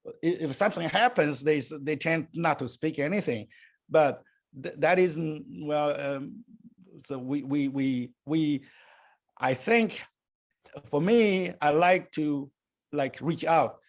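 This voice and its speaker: An older man born and raised in Taiwan, speaking English as a second language and answering interview questions in a halting way, with many fillers and restarts. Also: a little high. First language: Chinese. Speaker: guest.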